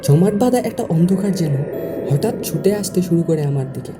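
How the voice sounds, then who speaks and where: A woman's voice: lowish voice, lively intonation, normal speed. A woman reading a scripted first-person horror story as its narrator. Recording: music behind the voice. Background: music.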